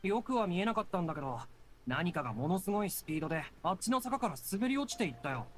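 A man's voice: sits high, very animated, quick pace, a little hiss or hum. A man voicing a puzzled young townsman in an anime game, thoughtful and uncertain. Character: casual young townsman, puzzled passer-by witness.

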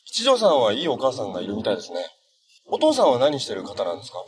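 An actor playing a cheerful, earnest, easygoing young hero in a TV drama, talking in a casual, boyish way. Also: male voice, medium pitch, wildly swooping pitch, very fast, crowd noise. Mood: earnest, thoughtful. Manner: casual, friendly, boyish phrasing. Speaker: actor in a TV superhero series. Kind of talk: naturalistic TV drama acting.

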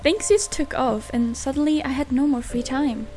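A woman's voice: sits high, normal speed, natural voice. A young woman voicing a friendly, teasing, caring young female pop idol in a visual novel fan dub. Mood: breezy, friendly. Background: game music and rain.

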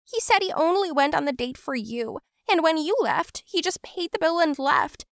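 A woman putting on an insecure young woman whose voice climbs high and trembles with emotion. She pleads and snaps with bitter hurt. The sound is dry and close. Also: sing-song, takes it slowly, creaky, fairly bright tone, no echo, heavily acted. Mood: tearful.

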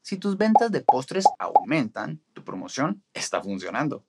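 A man in his forties explaining restaurant marketing to camera in a studio, with a steady, instructive delivery. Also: medium pitch, unhurried, heavy background noise. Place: studio in front of a green screen.

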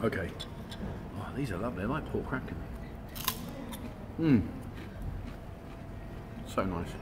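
A man's voice: on the low side, expressive, unhurried, noisy background. A man in his forties, filming a casual food vlog on a handheld phone inside a restaurant, chatty and enthusiastic, repeating words for emphasis. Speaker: vlogger. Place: restaurant indoors. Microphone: handheld phone camera.

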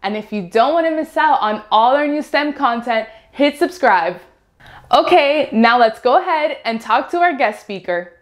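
A woman's voice: high-pitched, fairly bright tone. A young woman hosting an interview in an upbeat, enthusiastic scripted style, recorded in a studio on a lapel mic. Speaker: host. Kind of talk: scripted channel host talk. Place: studio before green screen, indoors.

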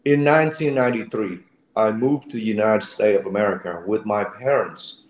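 Tired man from Vietnam, roomy echo. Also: on the low side. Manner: friendly, halting with fillers. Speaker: guest, actor and fight choreographer. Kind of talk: interview answers over video call. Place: room at home. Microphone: video call webcam.

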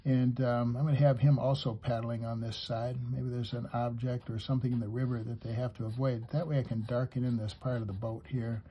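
A man giving an unhurried, step-by-step drawing lesson for kids, talking as he draws and pausing mid-sentence, with a husky voice. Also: mid-range voice, flat delivery, dark tone. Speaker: drawing teacher.

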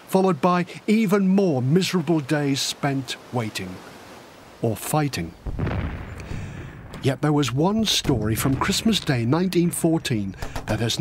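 A man's voice: a little high, slight background noise. A man reading his own children's history book as a dramatic scripted narration. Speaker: author reading own audiobook.

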